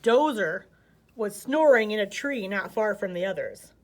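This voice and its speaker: A woman's voice: mid-range voice, expressive, a little slow. An American woman narrating a children's picture book expressively as a storyteller.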